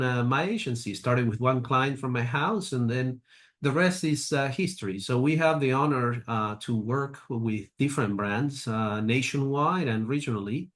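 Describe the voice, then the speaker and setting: Man, fifties, halting, filler-heavy, middle pitch. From Argentina. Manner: halting, with frequent fillers and restarts. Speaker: guest, agency president. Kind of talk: interview answers.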